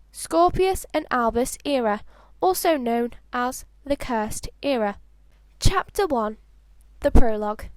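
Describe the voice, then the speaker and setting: High voice woman reading a fanfic out loud, amateur audiobook style, expressive, dry sound. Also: no room sound, poor audio. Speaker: fan fiction narrator.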